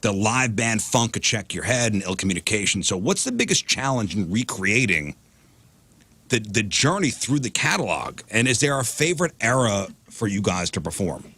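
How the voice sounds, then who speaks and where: Low-voiced radio host asking long questions. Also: male voice, sing-song, normal speed, bright, forceful tone, husky, dry recording. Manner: wordy, builds long questions with restarts. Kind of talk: studio interview questions.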